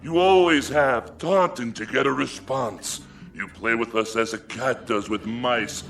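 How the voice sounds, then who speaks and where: Slow-speaking, aggrieved son-in-law. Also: male voice, mid-range voice, gravelly, fairly bright tone. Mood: angry, accusing. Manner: formal, aggrieved. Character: resentful, greedy middle-aged son-in-law. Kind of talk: dramatic radio play acting.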